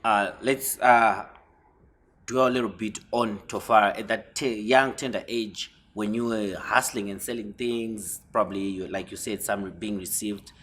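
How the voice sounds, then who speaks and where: Hesitant male host, low voice. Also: steady tone, moderate pace, fairly bright tone, husky. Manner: hesitant, repeats words, teasing. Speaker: talk show host. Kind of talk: interview questions.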